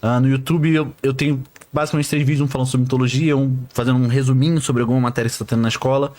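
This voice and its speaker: A man rattles off his talk at a fast clip, with a middle-range voice. He is joking and self-deprecating in a casual podcast chat. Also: medium pitch, steady tone, a little mellow. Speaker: podcast guest, social media creator.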